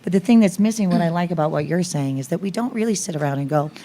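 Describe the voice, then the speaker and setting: A woman's voice: fairly low pitch, fast, dark tone, slight background noise. A woman speaking frankly and emphatically on a discussion panel, driving points home with rhetorical questions. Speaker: panelist, actor. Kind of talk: roundtable discussion. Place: film festival roundtable, live event.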